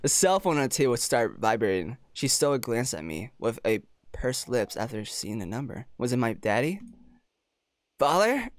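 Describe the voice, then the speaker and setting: A man's voice: mid-range voice, sing-song, normal speed, no room sound. A man doing casual playthrough commentary on a visual novel, reading it aloud and reacting informally with amused disbelief. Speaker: streamer. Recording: music playing behind the voice.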